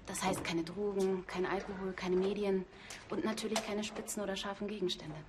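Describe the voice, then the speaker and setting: An actress playing a businesslike, informative nurse in a TV drama. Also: female voice, mid-range voice, little pitch movement, brisk, noisy background, natural voice. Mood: matter-of-fact. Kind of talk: TV drama acting.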